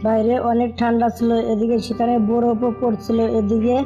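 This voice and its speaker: A woman's voice: a little high, level tone, normal speed, warm tone, highs cut off. A woman narrating a cartoon story for children. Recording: music behind the voice.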